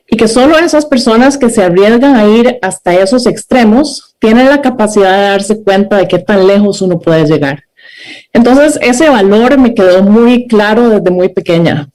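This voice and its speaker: A woman's voice: medium pitch, darkish tone, poor audio. A Costa Rican woman in her forties giving an earnest, story-driven talk over a video call, with frequent hesitant fillers. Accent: Costa Rican Spanish. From Costa Rica. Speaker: scientist speaker.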